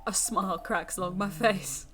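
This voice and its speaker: A woman streamer reading a visual novel aloud as dramatic first-person narration, expressive and deliberate. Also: medium pitch. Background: music.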